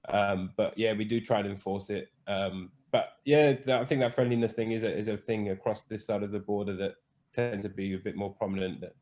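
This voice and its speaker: A man with a fairly low voice talks in a casual interview. He muses hesitantly, pausing on fillers and starting sentences over as he works out his answers. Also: steady tone, a little mellow. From born in England, raised in Spain, Scottish parents. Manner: hesitant, thinks aloud with fillers and restarts. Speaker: podcast guest, cruise hospitality manager.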